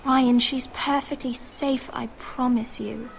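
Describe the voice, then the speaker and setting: A woman's voice: high voice, steady tone, slow pace, creaky, exaggerated voice. An actress playing a protective, composed noble girl in a fantasy game, speaking in a proper, reassuring way. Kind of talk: dramatic fantasy game acting.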